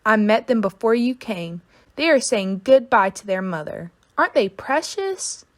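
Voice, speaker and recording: Vain, bossy first-grade girl voiced by a woman reader, prim and affected, unhurried, lively intonation, storybook. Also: a little high, dry recording. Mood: smug. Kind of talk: children's storybook read-aloud.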